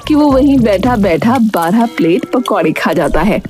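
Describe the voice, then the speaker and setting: A woman's voice: mid-range voice, expressive, moderate pace, darkish tone, soft background music. A woman narrator telling a scripted children's-style cartoon story.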